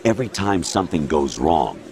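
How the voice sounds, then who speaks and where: Very deep, cold machine ruler. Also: male voice, sing-song, background noise, full character voice. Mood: cold lecturing. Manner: cold, measured, condescending lecturing. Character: cold male machine mind lecturing, mature. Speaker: game character. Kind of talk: video game cutscene voice acting. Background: loud dramatic music score.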